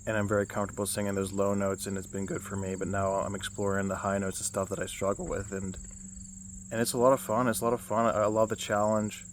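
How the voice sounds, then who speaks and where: A young man with a low baritone voice, giving a casual self-help vlog outdoors, rambling with many restarts and fillers. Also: completely flat delivery, fast, a little hiss or hum. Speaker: vlogger.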